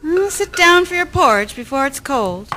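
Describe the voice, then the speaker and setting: Radio drama: actress as homely, no-nonsense farm wife, brisk and wry, high-pitched, sharp bright tone. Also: female voice, sing-song, slight background noise, heavily acted. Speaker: amateur voice actor, storyteller. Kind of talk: historical radio drama acting.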